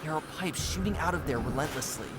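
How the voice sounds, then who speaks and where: An actor voicing a curious, plucky young animal hero in a cartoon adventure game, with expressive, wry, dramatic delivery over loud music. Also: medium pitch, fast, very noisy, lightly acted. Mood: alert. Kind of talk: cartoon adventure game voice acting, observational monologue.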